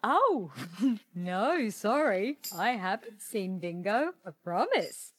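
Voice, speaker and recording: An actress playing a playfully evasive cartoon mum. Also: female voice, mid-range voice, big pitch swings, takes it slowly, no room sound. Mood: feigned innocence.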